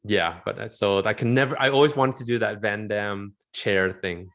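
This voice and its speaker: A man in his forties from Southern California, chatting as an interview guest in a rambling, animated way and laughing often. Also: fairly low pitch, moderate pace. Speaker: interview guest, film concept artist. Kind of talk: casual interview chat.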